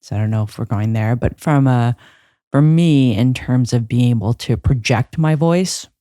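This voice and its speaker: A woman's voice: a bass voice, moderate pace, warm tone, dry recording. A woman trial lawyer talking as a podcast guest on a close microphone, reflective, with frequent restarts and fillers. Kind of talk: conversational interview.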